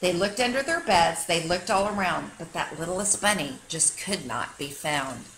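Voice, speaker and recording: A woman reading a children's picture book aloud like a teacher, with expressive, dramatic storytelling for young listeners. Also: lowish voice, normal speed, slight background noise, poor audio.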